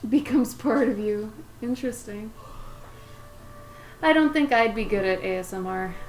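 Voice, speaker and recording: A young woman with a somewhat raspy voice, chatting casually while she draws on a livestream and talks to her dog and to viewers. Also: fairly high pitch, a little slow, darkish tone, slight background noise, poor audio. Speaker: livestreaming pet portrait artist. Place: home art studio with plastic bins.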